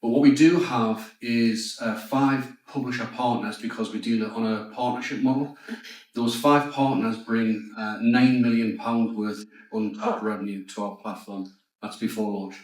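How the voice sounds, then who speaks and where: Emphatic Geordie man pitching in an echoey hall. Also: steady tone, moderate pace. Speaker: startup founder pitching. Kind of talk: pitch and Q&A on stage. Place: large event room. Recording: echoey hall, distant mic.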